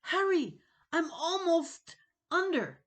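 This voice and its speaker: A woman voicing a terrified trapped boy in a storybook reading, pleading for rescue in a high, panicked way. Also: very animated, slow pace, heavily acted.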